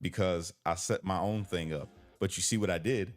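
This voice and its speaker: A man's voice: low voice, normal speed. A man hosting a live call-in stream, chatting casually, joking and teasing, reading chat comments aloud. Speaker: live show host. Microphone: headphones with computer mic.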